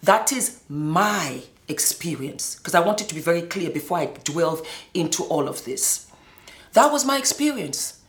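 A middle-aged woman with a fairly low voice recounts her own life in a roomy, echoing space. She speaks with emphasis, often repeating words to drive them home, in the manner of a personal testimony. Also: animated, a little slow. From Nigeria and Scotland. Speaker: former model telling her life story. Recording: roomy, echoing indoor sound.

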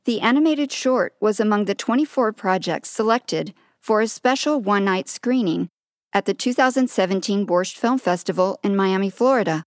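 A woman interviewer who narrates the introduction and asks questions in a clear, polished, encouraging manner. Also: medium pitch, moderate pace, no echo, clean studio sound.

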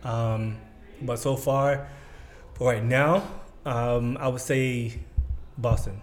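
An American man in his thirties, a podcast guest talking in a relaxed, thoughtful way with many fillers. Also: medium pitch, takes it slowly. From United States. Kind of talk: casual chat.

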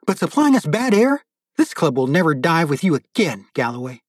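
Man acting an outraged, high-strung club president. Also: very animated, moderate pace, husky, no echo, clean studio sound. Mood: angry accusing. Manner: accusing, emphatic. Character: furious indignant male dive club president, sputtering.

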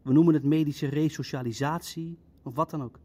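An actor in an audio drama playing an indignant Nazi jurist who argues formally and insistently for rigid legal order. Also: male voice, a little high, steady tone, fairly quick, warm tone, natural voice. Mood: insistent, exasperated.

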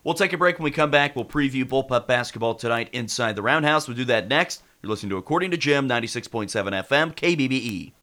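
A man's voice: medium pitch, animated, fast talker, hardly any pauses, pushed and bright. An American man hosting casual sports talk radio, congested with a head cold.

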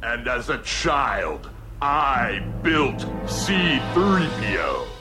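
An actor playing a menacing masked villain father in a comic space-saga parody, grave and commanding with deadpan reveals. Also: mid-range voice, extremely slow, over music. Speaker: film villain. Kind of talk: comic film acting.

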